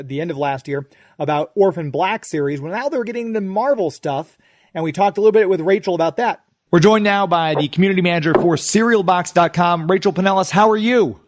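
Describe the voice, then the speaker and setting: A man's voice: sits high, a little fast. A man hosting a radio show, talking with guests in a conversational interview style.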